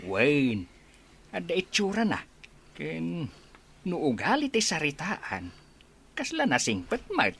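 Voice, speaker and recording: Slow, swooping old village father. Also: deep voice, wildly swooping pitch, husky, full character voice. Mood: placating. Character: slow-talking elderly village father, curious, agreeable. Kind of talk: radio comedy drama acting.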